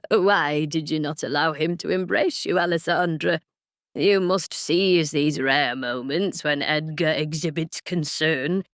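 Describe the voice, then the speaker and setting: A woman voicing a formal, dryly exasperated male household retainer in an audiobook romance, chiding with deliberate phrasing. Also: low-pitched, no echo, studio quality. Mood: dry, reproving.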